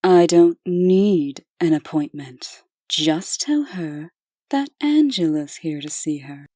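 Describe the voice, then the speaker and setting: A woman's voice: fairly low pitch, expressive, slow, mellow, dry recording, clean studio sound. A woman playing a cool, seductive, menacing angel huntress, poised and teasing, in a comic dub. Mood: brisk.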